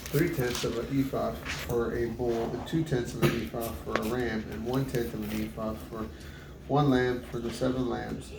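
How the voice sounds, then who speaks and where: A man reads scripture aloud in measured, list-like phrases, with an occasional stumble. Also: mid-range voice, level tone, gravelly. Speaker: Bible reader. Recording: roomy, distant mic with loud background noise.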